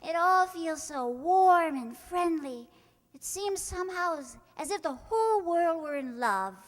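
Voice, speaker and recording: A woman's voice: very high-pitched, lively intonation, measured pace. A woman playing a dreamy, affectionate, innocent young niece, with a wistful, earnest, theatrical delivery in old-time radio comedy style. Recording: echoey hall with long reverb.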